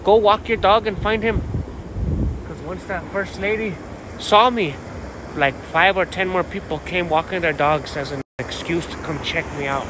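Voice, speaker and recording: High-pitched American man rambling outdoors. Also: moderate pace. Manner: slangy, profane, boastful, with repeated catchphrases. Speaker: vlogger. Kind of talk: casual rambling phone vlog. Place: outdoors on a dirt path by a canal. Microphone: phone held at arm's length. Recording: outdoor ambient noise on phone.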